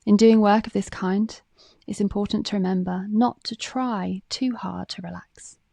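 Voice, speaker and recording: Female meditation guide, mellow and relaxed with gravelly fry, calm scripted read, close dry sound. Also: mid-range voice, normal speed, no room sound.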